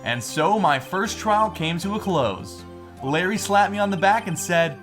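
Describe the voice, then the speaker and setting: A man's voice: sits high, sing-song, measured pace, over music. A man voicing an earnest, awkward young male lawyer in a fan-dubbed game, hesitant and a little wry. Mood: reflective. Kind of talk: fan-dub video game dialogue and inner narration.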